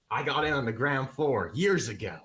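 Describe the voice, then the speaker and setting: A man's voice: mid-range voice, expressive, moderate pace, a bit bright, close to an everyday voice. An actor playing a smug, bullying repairman who shakes down kids, in an audio comedy sketch. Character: shady repairman, petty extortionist.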